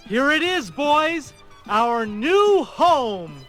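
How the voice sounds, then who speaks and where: A man's voice: very high-pitched, big pitch swings, drawn-out words, a bit bright, soft background music, exaggerated voice. A man playing a kindly, put-upon songwriter and father figure with emphatic delivery on a children's record. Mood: delighted. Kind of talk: children's read-along record acting.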